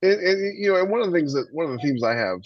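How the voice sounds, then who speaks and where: A man's voice: on the high side, animated, rapid-fire, dry recording, highs cut off. A man talking casually and emphatically on a podcast as an opinionated host who gives advice.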